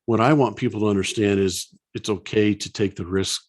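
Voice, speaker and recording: Male interviewer, lowish voice. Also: steady tone, a little fast. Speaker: podcast host, coach. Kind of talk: conversational interviewing. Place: home office, indoors. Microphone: headset on video call.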